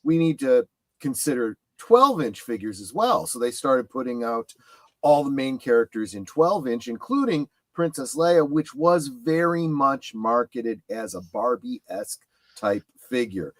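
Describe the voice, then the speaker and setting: A man's voice: mid-range voice, sing-song, measured pace, no room sound. A man chatting casually on a panel, explaining things in a discursive way. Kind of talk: casual panel chat about collecting.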